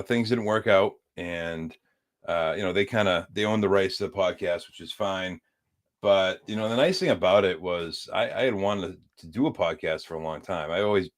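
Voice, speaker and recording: A man from the United States chatting as a podcast guest, easygoing and rambling as he tells stories. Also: deep voice. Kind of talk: casual chat.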